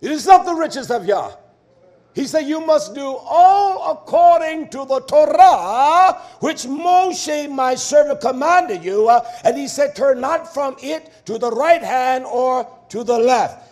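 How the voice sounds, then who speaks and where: Really high pitched guy preaching hard, stern fiery bible teacher, repeats words for punch, combative. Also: a little mellow. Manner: emphatic, repeating key words for force. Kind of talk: fiery sermon with verse-by-verse exposition.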